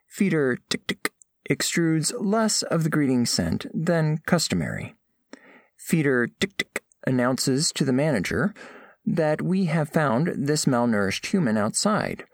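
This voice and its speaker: A man playing a formal, scholarly alien diplomat, narrating audio fiction in measured, precise phrases. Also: on the high side, dry recording, clean studio sound, heavily acted.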